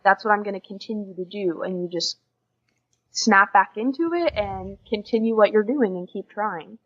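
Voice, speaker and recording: A woman's voice: medium pitch, normal speed, fairly bright tone. Young american woman chatting on a podcast, earnest and encouraging, kinda muffled lo-fi audio. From United States. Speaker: podcast guest, exchange student. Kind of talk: casual conversational interview. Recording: dull lo-fi podcast recording.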